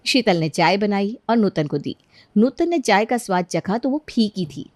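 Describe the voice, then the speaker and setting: A woman's voice: medium pitch, animated, moderate pace, darkish tone, no echo. A woman narrating a scripted cartoon bedtime story as the storyteller.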